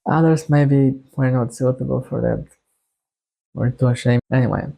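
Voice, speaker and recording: A young man from Bulgaria with a Bulgarian accent, talking casually to his viewers in a halting, hesitant way. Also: mid-range voice, steady tone, creaky, mellow, faint music behind. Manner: halting, hesitant delivery with long phrasing breaks. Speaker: YouTuber introducing a music channel. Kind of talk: casual to-camera monologue.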